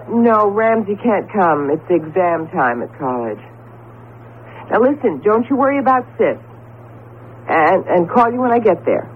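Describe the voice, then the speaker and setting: A tinny old radio recording with faint noise carries a professor's wife at an ordinary female pitch. She is affectionate, brisk and expressive, in the theatrical manner of 1970s radio drama. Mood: concerned. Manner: playful scolding, expressive, affectionate.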